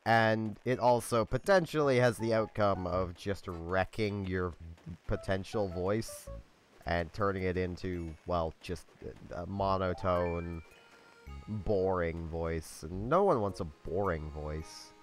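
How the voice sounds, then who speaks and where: A young man hosting a let's play, talking in a monotone, low-key, rambling way with a slightly scratchy voice. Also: slow, soft background music. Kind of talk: casual gameplay commentary.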